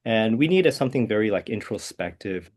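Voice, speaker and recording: A man, a composer answering interview questions in a soft, hesitant way with many fillers. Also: lowish voice, animated, brisk. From South Korea. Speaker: guest composer.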